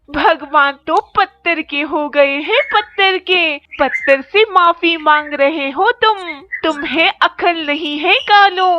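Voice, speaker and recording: A woman voicing a bitter, complaining female crow wife in a children's cartoon, on a muffled, noisy recording. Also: extremely high pitch. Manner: complaining, scolding. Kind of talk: children's cartoon voice acting in Hindi.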